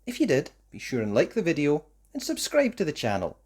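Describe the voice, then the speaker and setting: Brief: storybook narrator for young children, expressive and animated, with wide melodic swings. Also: mid-range voice, fairly quick, slightly rough. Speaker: children's story reader, host.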